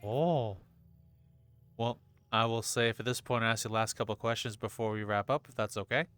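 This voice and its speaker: A man's voice: mid-range voice, fairly even intonation, a little fast, fairly bright tone, no room sound. A man hosting a casual podcast, asking questions with enthusiastic, stumbling phrasing. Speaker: podcast host. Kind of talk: casual interview chat. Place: home, VR session.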